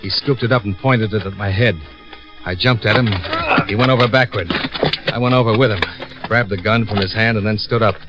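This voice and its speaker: An actor playing a cool, shrewd American insurance investigator in a vintage radio drama, with dry, clipped, probing delivery. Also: male voice, fairly low pitch, steady tone, fairly quick, loud music behind the voice, close to an everyday voice, low-quality recording. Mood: brisk narrating. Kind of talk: 1950s radio detective acting and first-person narration.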